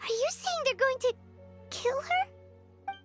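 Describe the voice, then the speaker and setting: A tiny, excitable fairy sidekick from a video game, extremely high and squeaky. The childlike voice swoops expressively and draws its words out slowly, anxious by temper, over music. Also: female voice, exaggerated voice. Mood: anxious, alarmed. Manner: exclamatory, expressive. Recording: background music under the dialogue.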